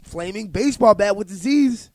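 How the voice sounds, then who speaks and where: A man's voice: sits high, lively intonation, normal speed, dark tone, slight background noise. A man co-hosting a casual podcast, bluntly teasing and pushing back on his co-host. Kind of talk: casual chat.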